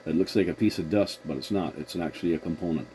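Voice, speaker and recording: A man's voice: deep voice, fast. A man chatting casually as a CB radio operator, agreeable and a little hesitant, with a noisy recording. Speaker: CB radio operator hosting the contact.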